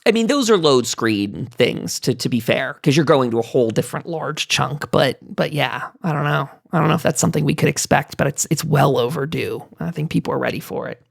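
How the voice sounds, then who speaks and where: A man's voice: fairly high pitch, lively intonation, fairly quick, creaky, few pauses, no echo, clean studio sound. A man hosting a casual gaming roundtable, talking in a rambling way and often interrupting himself. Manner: rambling, self-interrupting, hedging aloud. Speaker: podcast host moderating a roundtable. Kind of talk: casual panel discussion about gaming.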